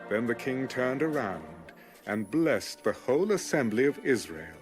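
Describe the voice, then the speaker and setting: A man narrating scripture from a script in a measured, solemn storytelling manner. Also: mid-range voice, big pitch swings. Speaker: narrator of an audio Bible. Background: music.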